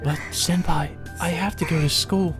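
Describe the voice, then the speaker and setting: Man voicing a meek feverish young woman, pitched up high. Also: fairly even intonation, moderate pace, fairly bright tone, faint music behind, natural voice. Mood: faint, dazed. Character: sick, feverish young woman, meek and stubbornly insisting she's fine.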